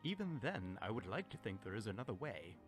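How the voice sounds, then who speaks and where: Man playing a formal officer, animated intonation. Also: on the low side, music in the background. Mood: earnest, cautious. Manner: stiffly polite, formal, measured. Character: courteous, formal male officer with measured principles. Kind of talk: audio drama acting.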